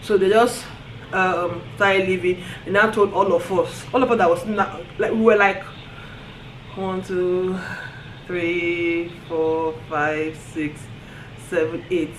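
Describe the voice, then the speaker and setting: A woman with a Nigerian accent telling a story on her vlog in an animated way, often breaking into laughter. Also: on the low side, slow pace, a little hiss or hum. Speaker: vlogger. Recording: roomy echo, faint ambience.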